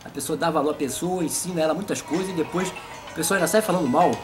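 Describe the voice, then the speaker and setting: A man's voice: on the high side, fast talker, over music. A man in his fifties with a Brazilian accent, hosting a casual comic interview. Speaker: host and puppeteer.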